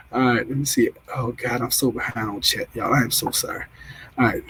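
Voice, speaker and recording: A man's voice: medium pitch, steady tone, measured pace, pushed and bright, a little hiss or hum, poor audio. A man hosting a casual livestream, reading out chat comments and rambling with frequent restarts. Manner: rambling, repeats himself, restarts often. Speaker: livestream host.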